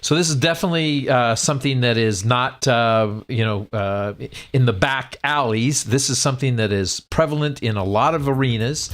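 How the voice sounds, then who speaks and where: A man's voice: mid-range voice, normal speed, hardly any pauses, fairly bright tone. A man moderating a radio talk show in a conversational, somewhat hesitant way.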